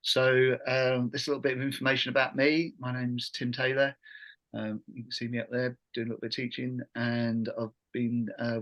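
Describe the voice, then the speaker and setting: A middle-aged man hosting an informal online workshop on a video call, phrasing things haltingly. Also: mid-range voice, fairly bright tone. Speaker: workshop host, education trainer.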